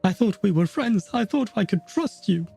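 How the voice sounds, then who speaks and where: A man's voice: high-pitched, expressive, normal speed, gravelly, warm tone, husky, soft background music. A man livestreaming a video game, chatting casually with viewers in rambling, self-deprecating banter. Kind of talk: casual livestream chat while gaming.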